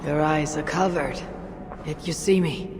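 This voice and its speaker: An actor playing a determined, guarded Viking raider in a video game. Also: male voice, a little high, fairly even intonation, unhurried, background noise, natural voice. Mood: musing, wary. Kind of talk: video game cutscene acting. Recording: loud music over the voice.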